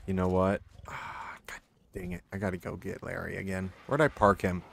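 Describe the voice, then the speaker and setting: A man narrating his gameplay in a casual, muttering way, his voice going hoarse and now and then breaking into loud frustrated outbursts. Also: low voice, little pitch movement, normal speed, a little hiss or hum. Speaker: gaming channel host.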